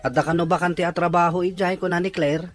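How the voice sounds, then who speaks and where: A man's voice: high-pitched, fairly even intonation, quick pace, lightly acted. Earnest young country man over music acted by a man. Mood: pleading. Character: earnest young rural man longing to save for studies. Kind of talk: Ilocano audio drama dialogue.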